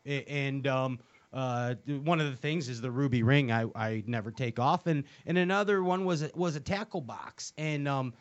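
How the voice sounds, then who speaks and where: A man hosting a casual podcast interview, excitable and stumbling over repeated words. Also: medium pitch, normal speed, dull audio. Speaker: podcast host.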